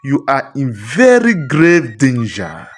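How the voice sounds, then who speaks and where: A woman's voice: rumbling low, huge pitch swings, takes it slowly, breathy edge, faint music behind, voice pushed down, heavily acted. A woman voicing a stern male pastor in a storybook tale, grave, commanding and urgent. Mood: urgent warning. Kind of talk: storybook character voice.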